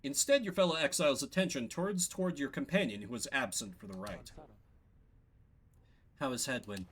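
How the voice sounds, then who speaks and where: A man's voice: a little high, big pitch swings, fast, fairly bright tone. A man streaming a story game, reading its narration aloud like a storybook with casual asides.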